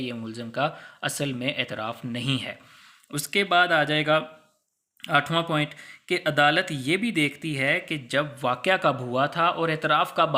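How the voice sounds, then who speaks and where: Bright-voiced Pakistani man giving a lecture. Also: fairly high pitch. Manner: didactic, conversational, acts out hypothetical examples. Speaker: law lecturer. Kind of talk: explanatory lecture with English legal terms mixed in.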